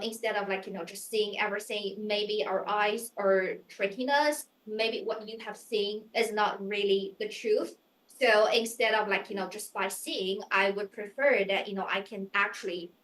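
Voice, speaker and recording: A woman's voice: medium pitch, a bit bright. Young woman, echoey room. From China. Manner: earnest, searching, filler-heavy phrasing. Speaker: pageant contestant. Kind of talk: mock interview answers.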